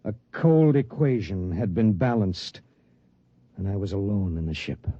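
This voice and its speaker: An actor playing a lonely, guilt-ridden spaceship pilot, brooding and terse, in a 1950s radio drama. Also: male voice, fairly low pitch, animated, unhurried, warm tone, a little hiss or hum. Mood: brooding, grave. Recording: muffled vintage radio broadcast.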